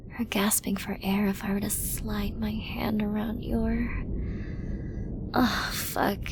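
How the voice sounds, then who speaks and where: A woman acts out a menacing, seductive female villain in an ASMR audio roleplay. She speaks slowly in a mid-range voice, teasing and dominant, often sinking into a breathy whisper. There is clear noise behind her. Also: mid-range voice. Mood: hushed desire.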